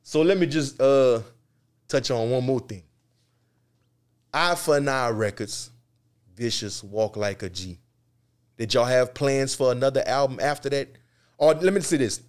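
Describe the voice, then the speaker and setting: A male host keeps the interview moving in easy street slang. He chimes in constantly with quick affirmations and asks long, winding questions. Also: medium pitch, clean tone. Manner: animated, slangy, frequent affirmations. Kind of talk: casual interview chat.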